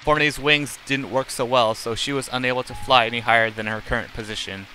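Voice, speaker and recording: Bright-voiced man reading aloud, some background noise. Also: medium pitch, steady tone, a little fast, low-quality recording. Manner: plain, unacted reading with occasional stumbles. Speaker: live streamer. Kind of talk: reading visual novel text aloud with casual asides.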